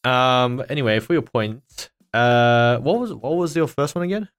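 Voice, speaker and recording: A man's voice: normal speed, clean tone. A man hosting a casual podcast, teasing his co-host and laughing often. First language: not Chinese. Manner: teasing, laughs often, lively swings in pitch. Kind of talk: casual chat.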